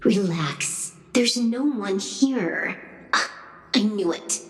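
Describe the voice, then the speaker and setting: A woman's voice: medium pitch, animated, slow pace, echoey room, long reverb tail. An actress playing a cool, wry young female hacker in a video game, with a dry, teasing, laid-back delivery. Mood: dry, casual. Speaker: game character voice actor. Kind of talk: video game dialogue acting.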